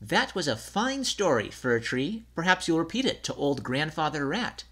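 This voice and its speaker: Guy putting on a tiny curious mouse voice for a kids storybook, eager, pitched way up high. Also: very animated, normal speed, pushed and bright, exaggerated voice. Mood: chirpy. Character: small young mice, eager and curious.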